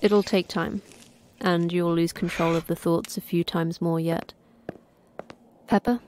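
A woman playing a composed, caring station manager in an audio drama, speaking in a measured, understated way. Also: fairly low pitch, fairly even intonation, darkish tone, slight background noise, natural voice. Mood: composed, concerned. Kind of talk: naturalistic audio drama acting.

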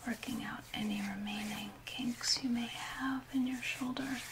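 A woman's voice: fairly high pitch, fairly even intonation, moderate pace. A woman in her thirties whispering breathily in a soothing, instructive ASMR massage role play. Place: small indoor room. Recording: clearly noisy, with rubbing sounds.

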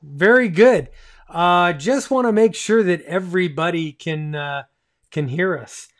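A man's voice: sits high, a little slow. A man in his fifties hosting a casual livestream with enthusiasm, often repeating phrases for emphasis. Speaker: livestream host. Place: small home radio room, indoors.

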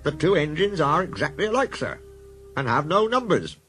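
A man's voice: a little high, sing-song, normal speed, fairly bright tone, soft background music, pitched above the performer's own voice. A man voicing a polite, hesitant railway inspector who defers to his boss in a children's story. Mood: deferential, flustered.

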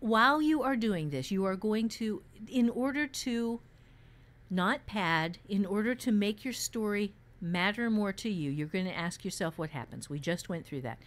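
A woman's voice: medium pitch, animated, normal speed. Emphatic woman teaching fiction writing. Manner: emphatic, dramatizes imagined questions. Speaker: podcast co-host and writing teacher.

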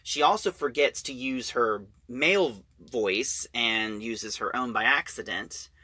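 A man giving a casual, halting recap of a story as a reviewer, in an informal way. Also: mid-range voice, pushed and bright, breathy edge, no room sound.

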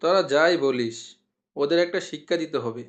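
Guy doing an outspoken village uncle in a cartoon, blunt, bit higher voice. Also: a little fast, lo-fi sound, natural voice. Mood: resolved. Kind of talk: cartoon dialogue.

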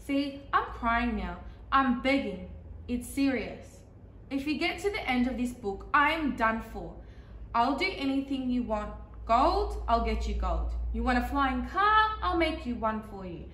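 A woman's voice: fairly high pitch, big pitch swings, a little slow, a bit bright, slight background noise. A woman voicing an exasperated, pleading storybook character in a dramatic, emphatic children's read-aloud. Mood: desperate begging. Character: pleading, exasperated talking picture-book character, increasingly frantic. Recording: echoey, roomy sound.

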